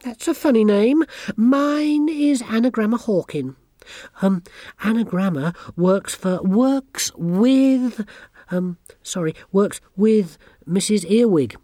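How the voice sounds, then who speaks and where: A woman's voice: mid-range voice, takes it slowly, warm tone, no room sound, clean studio sound, voice pushed up. A woman voicing a haughty, bossy teenage girl witch who talks down to everyone in a sneering, imperious way.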